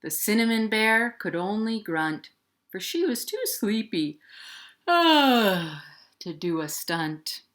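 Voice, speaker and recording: A woman's voice: mid-range voice, animated, slow pace, a bit bright. A woman hosting a children's storytime, reading playfully in sing-song rhymes and speaking directly to young children. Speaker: children's librarian, storytime host. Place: at home, indoors.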